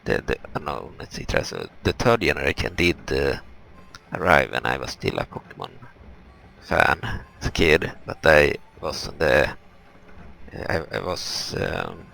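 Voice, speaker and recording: Very deep, gravelly man doing gaming commentary. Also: animated, pushed and bright, rough recording. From Europe. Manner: halting, rambling, explanatory. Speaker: gaming video host. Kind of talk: casual let's play commentary.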